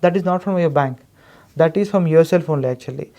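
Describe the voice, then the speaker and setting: A man's voice: a little high, a little fast, warm tone. A man with an Indian accent explaining things as a consultant in a podcast interview, emphatic and wordy. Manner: emphatic, wordy explaining, often repeats "actually". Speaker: education consultant guest.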